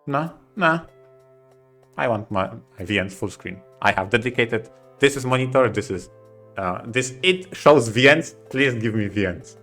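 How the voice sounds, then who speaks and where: A man co-hosting a podcast casually, speaking in halting, emphatic short phrases. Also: medium pitch, lively intonation, a bit bright, soft background music. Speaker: podcast co-host.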